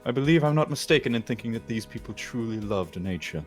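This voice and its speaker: A man playing a dry, sardonic prosecutor in a video game fan dub, speaking in a measured, formal, deadpan way. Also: medium pitch, music in the background, close to an everyday voice. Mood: solemn.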